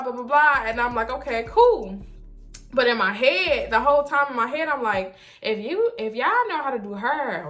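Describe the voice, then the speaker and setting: A woman vlogger telling a casual story in an animated, emphatic, slangy way, often repeating herself. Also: high-pitched. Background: background music.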